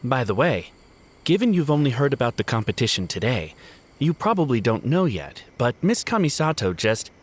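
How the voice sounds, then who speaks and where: A man's voice: medium pitch, sing-song, moderate pace, slightly rough. An actor playing a courteous, earnest male samurai retainer in a video game, speaking politely and formally. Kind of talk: scripted video game dialogue.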